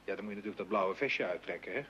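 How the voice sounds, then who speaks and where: A man's voice: deep voice, racing, sharp tone. A man acting a young, artistic son in a radio drama, by turns coaxing and teasing. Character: eager, teasing young son, an amateur painter. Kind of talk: old Dutch radio play acting. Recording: dull radio recording with clear noise.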